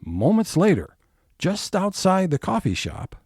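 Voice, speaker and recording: A man's voice: high voice, wildly swooping pitch, a little slow, darkish tone, dry recording, soft background music, heavily acted. A man narrating scene changes in a scripted comedic audio drama. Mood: announcing.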